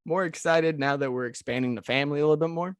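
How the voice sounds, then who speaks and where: A man hosting a podcast, talking excitedly and rambling with many restarts. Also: fairly high pitch, quick pace, clean tone, no echo, studio quality. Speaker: podcast host. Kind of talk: casual podcast chat.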